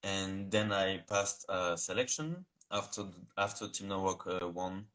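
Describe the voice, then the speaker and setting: A young man from France with a French accent, answering interview questions in English over a video call, halting and searching for words. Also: sits low, fairly bright tone. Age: young adult. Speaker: guest.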